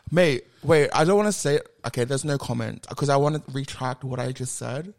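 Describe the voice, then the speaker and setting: A man co-hosting a podcast in casual chat. He is from Australia and talks in an animated, jokey way, often taking back what he just said. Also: mid-range voice, a little fast, gravelly. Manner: animated, self-correcting, jokey. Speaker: podcast co-host.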